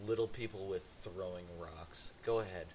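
A man's voice: sits low, normal speed, a little mellow. A man co-hosting a bad-movie podcast, teasing and playful in casual chat. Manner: teasing, playful, mock-indignant. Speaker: podcast co-host.

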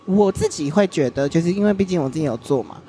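A man's voice: high voice, fast talker, dark tone, a little hiss or hum. A man hosting an interview podcast, chatting casually and giving long, talkative explanations. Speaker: podcast host.

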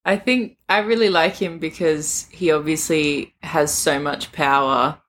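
A woman's voice: sits low, fairly even intonation, a little slow, a bit bright. A woman co-hosting a podcast in casual chat, giggly, playful and opinionated. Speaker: book podcast co-host.

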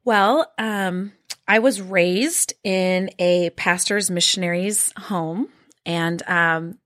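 Unhurried woman recalling her life story. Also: fairly low pitch, fairly bright tone. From Norway and Washington State. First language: Norwegian. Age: 30s. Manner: unhurried, reflective, grateful tone. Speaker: guest in ministry.

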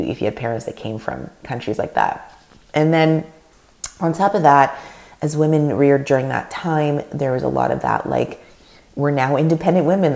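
Brief: woman podcast host, thirties, very deep voice, gravelly, earnest and rambling. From Canada. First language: Russian. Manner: earnest, animated, rambling with restarts. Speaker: podcast host and leadership coach. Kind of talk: solo podcast talk.

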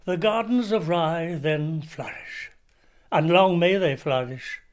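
A man reading as Charles Dickens, a Victorian writer fondly setting down his journal in a measured, savouring delivery. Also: on the high side. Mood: fond, reflective. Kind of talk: dramatic literary reading.

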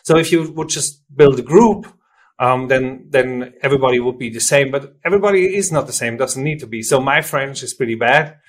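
A man from Switzerland, a native German speaker with a Swiss German accent, explaining things informally and haltingly as an interview guest, often rephrasing himself. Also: medium pitch. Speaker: software company representative, interview guest. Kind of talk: conversational product interview.

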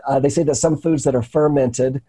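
A man hosting a casual health talk show over a video call, his voice slightly raspy from a cold, talking earnestly with many restarts. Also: mid-range voice, fairly even intonation, brisk, mellow. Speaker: host. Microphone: remote video call.

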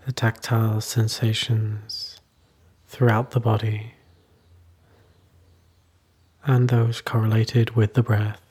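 Slow, soft-edged man guiding meditation. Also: fairly low pitch, little pitch movement, husky. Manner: soothing, unhurried, with long pauses between short phrases. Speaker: meditation teacher. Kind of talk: guided meditation.

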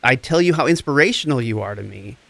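An actor playing a gentle, encouraging empath therapist, speaking in a reassuring, deliberate way in a scripted audio drama. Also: male voice, mid-range voice, lively intonation, gravelly, no echo. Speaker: actor in a fiction podcast.

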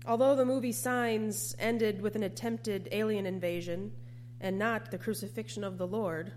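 A woman preacher delivering a sermon in a measured, reflective, plain-spoken way. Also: medium pitch, fairly even intonation, darkish tone, a little hiss or hum.

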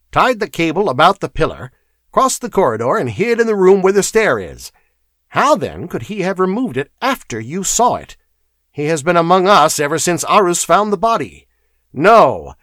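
A man's voice: on the high side, a bit bright, no room sound, clean studio sound, voice pushed up. A man voicing an impatient, authoritative male inquisitor reasoning out a crime in a fantasy audiobook. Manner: brisk, authoritative, irritable.

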